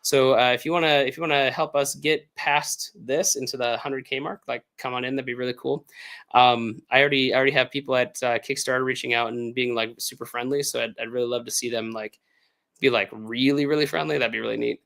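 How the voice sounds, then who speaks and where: American guy hosting a live q&a, voice shot from being sick, rambly and upbeat, mid pitch. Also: fairly even intonation, a little fast, vocal fry, a bit bright. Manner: rambling, filler-heavy, upbeat. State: hoarse, lost voice from illness. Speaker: author hosting a livestream Q&A. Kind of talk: casual livestream chat.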